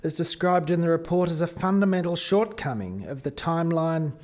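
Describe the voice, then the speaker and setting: A man's voice: fairly high pitch, fairly quick, a little mellow, lightly acted. A man playing a probing alien official in a radio play, with a formal, inquiring manner. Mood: questioning. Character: probing male alien official questioning the creators.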